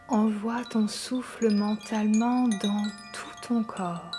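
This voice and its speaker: Slow, mellow woman guiding meditation. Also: fairly high pitch. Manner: calm, soothing, gentle delivery. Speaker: yoga nidra guide. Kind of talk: guided meditation narration. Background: soft meditation music with chimes.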